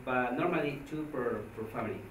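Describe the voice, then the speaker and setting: Halting man. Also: mid-range voice, fairly bright tone. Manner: halting, hesitant English. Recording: echoey room, distant mic, faint noise.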